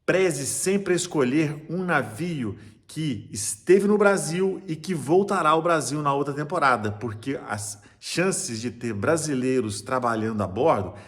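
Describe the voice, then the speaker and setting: A man's voice: fairly high pitch, animated, unhurried, few pauses. A man with a Brazilian Portuguese accent, a cruise travel agent chatting enthusiastically and in a salesy way while giving tips, often restarting his sentences. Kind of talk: casual tips vlog to camera.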